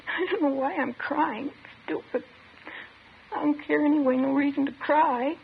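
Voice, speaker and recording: An actress playing a shaken, bitter teenage girl in a 1950s radio drama, halting and subdued. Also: sits high, fairly even intonation, gravelly. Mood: tearful, bitter. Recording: muffled, slightly noisy old radio recording.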